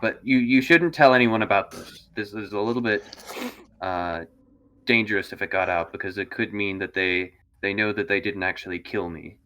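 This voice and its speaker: Halting young nobleman, low and bright played by a man. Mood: uneasy. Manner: halting, hedging. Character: young noble boy in danger, evasive, trusting.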